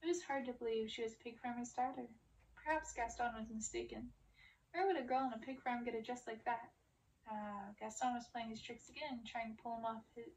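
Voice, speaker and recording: A woman's voice: fairly high pitch, darkish tone. A woman reading a fairy-tale novel aloud in audiobook style. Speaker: reader of a story. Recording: distant mic with clear background noise.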